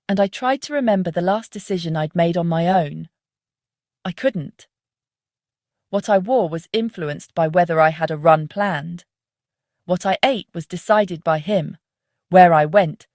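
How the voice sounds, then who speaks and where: A woman narrates a dark psychological story in the first person. Her voice sits on the low side, and the sound is very dry, with no room around it. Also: no room sound.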